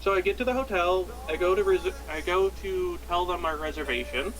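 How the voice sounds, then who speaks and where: A man's voice: sits high, fairly quick, poor audio. A young American man telling a personal story casually, in a rambling, self-deprecating way. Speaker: podcast storyteller. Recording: background music throughout. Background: music.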